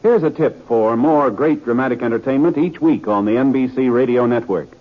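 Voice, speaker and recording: A man's voice: medium pitch, fairly quick. Polished 1950s radio announcer, man. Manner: polished, formal announcer delivery. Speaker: network announcer. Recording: tinny radio transcription with music.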